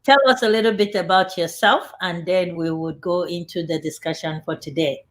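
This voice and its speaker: A woman's voice: fairly low pitch, fairly even intonation, normal speed. Chatty woman hosting an online show. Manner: chatty and upbeat, with fillers. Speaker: talk show host. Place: home studio in Canada.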